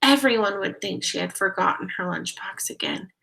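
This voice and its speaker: A woman's voice: mid-range voice, moderate pace, vocal fry, poor audio. A woman reading a picture book aloud expressively, talking directly to young listeners. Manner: expressive, addressing children directly. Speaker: read-aloud storyteller.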